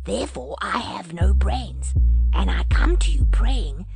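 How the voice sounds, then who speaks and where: A woman voicing a humble male scarecrow in a storybook reading, speaking in a breathy half-whisper. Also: medium pitch, animated, unhurried, music in the background. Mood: pleading.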